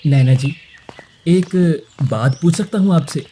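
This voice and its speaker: A man voicing a kind, protective young prince in an animated moral story, speaking formally and courteously. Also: on the high side, a little slow, a little hiss or hum.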